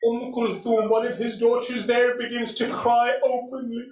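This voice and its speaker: A man's voice: very high voice, little pitch movement, normal speed. A man delivering an emotional sermon, retelling a sacred story in a dramatic, mournful way. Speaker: religious lecturer. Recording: echoey hall, distant microphone.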